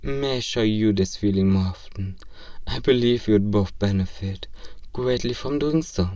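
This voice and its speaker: A man's voice: on the low side, moderate pace, warm tone, no room sound, studio quality, far from the mic, close to an everyday voice. A man voicing an earnest, curious robot learning about human love in a comedic role-play, speaking in formal, literal, stiltedly polite phrases. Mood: earnest, tender. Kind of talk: ASMR role-play comedy audio drama.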